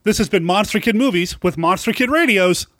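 A man's voice: high voice, lively intonation, a little fast, heavy background noise. A man talking as a podcast host about films, in a conversational, enthusiastic fan's way. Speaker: podcast host, film segment presenter. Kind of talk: film review podcast monologue. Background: music under the voice.

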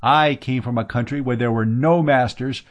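A man's voice: mid-range voice, steady tone, normal speed, pitched above the performer's own voice, close to an everyday voice. A man voicing a bold, proud slave with a haughty, declamatory manner in an audiobook story. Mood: proud pleading. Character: proud, bold male slave, quick-witted bluffer. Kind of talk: audiobook character voice.

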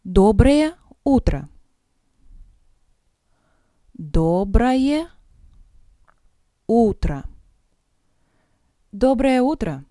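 A woman's voice: a little high, very animated, drawn-out words, long pauses, dark tone, no echo, studio quality. A woman teaching vocabulary in a drill, saying each phrase with careful enunciation, then breaking it up word by word and repeating it. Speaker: language teacher.

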